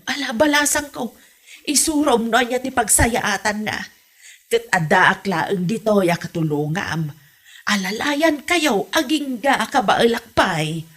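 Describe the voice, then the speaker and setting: A woman playing a fretful, fond mother of a grown son in an Ilocano comedy drama, with an expressive, coaxing delivery. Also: a little high, a little slow, husky. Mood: relieved, coaxing.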